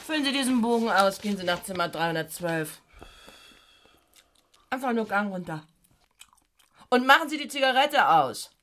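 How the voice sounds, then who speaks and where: A woman voicing an officious office clerk in a radio play, rattling off curt instructions with a lively lilt. She sounds a little off-mic, with faint noise behind. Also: medium pitch, moderate pace, long pauses, natural voice. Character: brisk bossy female office clerk.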